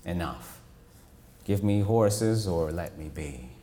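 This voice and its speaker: A man voicing a giant ancient male swamp turtle who talks slowly and menacingly. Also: low voice, expressive, mellow, pitched below the performer's own voice. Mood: dismissive. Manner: slow, heavy, drawn-out delivery.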